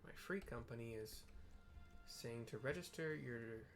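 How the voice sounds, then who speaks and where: A man's voice: mid-range voice, a little slow. A man giving casual, rambling, offhand commentary as he plays through a video game. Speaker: gaming video host. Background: background music.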